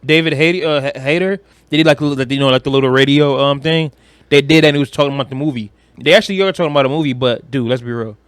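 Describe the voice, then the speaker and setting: A man's voice: mid-range voice, fast talker, smooth, no echo. A man co-hosting a podcast, chatting casually in a slangy, conversational way. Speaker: podcast co-host. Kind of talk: casual chat about video games and film.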